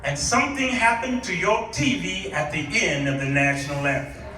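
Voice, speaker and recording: A man's voice: a little high, moderate pace, sharp tone, faint music behind. A middle-aged American man preaching nostalgically to a congregation, theatrical and exclamatory, leaning on rhetorical questions. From Chicago, United States. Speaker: guest preacher. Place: church hall, preaching to a congregation. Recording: echoey hall, distant microphone.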